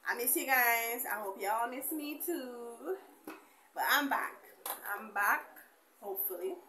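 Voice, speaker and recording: Bright, high-voiced young woman vlogging. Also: takes it slowly, roomy sound, a little hiss or hum. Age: young adult. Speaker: beauty vlogger. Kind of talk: casual chatty makeup haul to camera. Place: small indoor room with bare wall.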